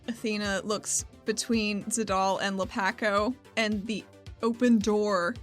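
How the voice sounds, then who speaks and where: A woman's voice: medium pitch, steady tone, measured pace, faint music behind. A woman co-hosting a podcast as a player, chatting and improvising roleplay casually.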